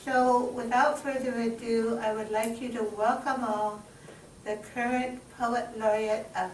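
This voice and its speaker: Lady host doing an intro speech, higher voice, far from mic, bit of noise. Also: fairly even intonation, unhurried. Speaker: event host introducing a poet. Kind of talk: informal ceremonial introduction speech. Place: live event room, recorded from a distance. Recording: echoey, distant microphone, clear background noise.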